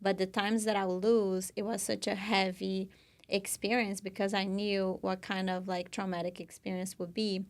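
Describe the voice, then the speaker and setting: A woman's voice: mid-range voice, flat delivery, moderate pace. A young woman from Brazil with a Brazilian Portuguese accent, co-hosting a podcast and telling her own story in an earnest, casual way full of "you know" and "like". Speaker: podcast co-host.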